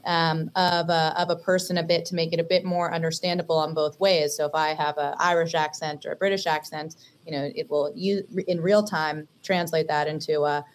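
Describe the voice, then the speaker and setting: A woman with a Californian accent on a panel, speaking in a measured way with frequent fillers. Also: deep voice, fairly even intonation, a little hiss or hum. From western United States. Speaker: investor panelist. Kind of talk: panel discussion.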